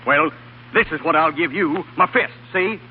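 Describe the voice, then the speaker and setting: Man playing a blustering high-voiced country vet, tinny radio. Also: moderate pace, a little hiss or hum, lightly acted. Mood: belligerent. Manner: blustering, folksy, threatening. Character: gruff, hostile, territorial state veterinarian. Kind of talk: 1930s radio acting. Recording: tinny 1930s radio recording, crowd behind.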